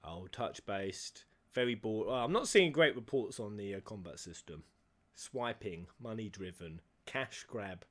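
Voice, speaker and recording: Male gamer reactor, very lively and swooping delivery, playful and theatrical, casual asides. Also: on the low side, measured pace. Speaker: gamer reacting to video game cutscenes.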